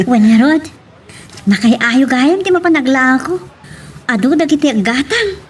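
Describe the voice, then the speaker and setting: An Ilocano woman acting a village woman in a romance drama, with an expressive, questioning manner. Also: high-pitched, a little slow, a little hiss or hum. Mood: cheerful.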